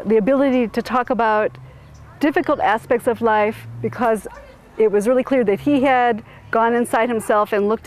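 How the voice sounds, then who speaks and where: Thoughtful, halting woman. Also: fairly high pitch, slight background noise. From suburban Chicago, USA. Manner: thoughtful, halting, many restarts. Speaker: interviewee. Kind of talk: reflective interview answers.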